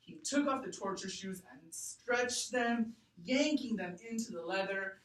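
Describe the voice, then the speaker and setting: A woman teacher reading aloud to a class and explaining deliberately and expressively, thinking out loud. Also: mid-range voice. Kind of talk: read-aloud lesson to a class. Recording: echoey room, distant mic.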